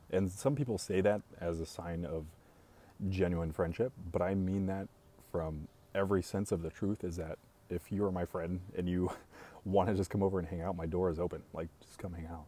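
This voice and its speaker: A young man hosting a casual live stream, talking in a calm, even monotone, slow and reassuring toward his viewers. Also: low-pitched, slightly rough. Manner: speaks slowly and reassuringly to viewers.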